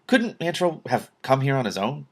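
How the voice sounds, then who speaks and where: A man's voice: medium pitch, big pitch swings, normal speed, pitched above the performer's own voice. A man voicing a storybook king who is questioning, curious and mildly incredulous. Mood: surprised. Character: young male king, wary, curious, easily startled.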